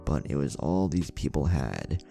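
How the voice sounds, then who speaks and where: Really deep mellow guy narrating a story, voice moves around a lot, faint music behind. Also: very animated, a little slow, gravelly. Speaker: narrator reading fan fiction aloud. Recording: music bed under the voice.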